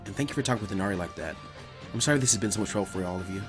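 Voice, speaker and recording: A man voicing a gentle, polite young mother, soft and apologetic, in a story reading. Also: lowish voice, racing, soft background music, pitched below the performer's own voice, close to an everyday voice. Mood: grateful, soft. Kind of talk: dramatic fan fiction character reading.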